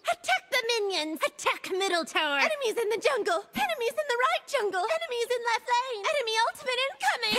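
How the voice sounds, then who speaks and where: A woman's voice: very high-pitched, background noise, heavily acted. A woman voicing a cutesy, playful young goose-themed gorgon for a video game, punning in a sing-song, cheery manner. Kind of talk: video game character voice lines.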